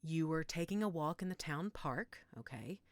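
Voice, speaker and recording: A woman playing a middle-aged motherly healer in an audio roleplay, gentle, reassuring and coaxing. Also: deep voice, lively intonation, moderate pace, no echo. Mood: gentle, serious. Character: calm motherly werewolf-den healer named Judith, tending a patient.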